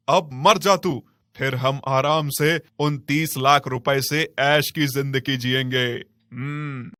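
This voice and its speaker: A man's voice: a little high, extremely slow, bright, forceful tone, no echo, clean studio sound, full character voice. A man playing a greedy, callous husband in a cartoon moral tale, smug and scheming. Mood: gloating. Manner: smug, scheming, with villainous hums.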